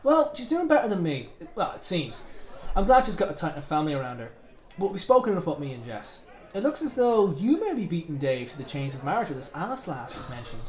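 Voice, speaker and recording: Settled, matey British bloke male actor, teasing radio drama pal, lively pitch, roomy echoing sound. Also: fast. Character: settled, good-natured pub mate and expectant father. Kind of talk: naturalistic radio drama acting. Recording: echoey room.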